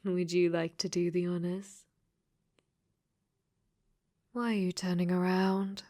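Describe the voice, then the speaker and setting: Slow-speaking possessive yandere game girl, acted by a woman. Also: on the low side, level tone, darkish tone. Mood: menacing. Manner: sweetly menacing, breathy, often dropping to whispers. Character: possessive, clingy female dating-sim AI in robot body. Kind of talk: ASMR audio roleplay acting.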